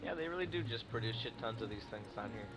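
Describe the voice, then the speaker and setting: A young man commentates his own video game playthrough in a mid-pitched voice. He is casual and jokey, swears freely and reads game text aloud over clearly audible music. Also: expressive, fast, low-quality recording.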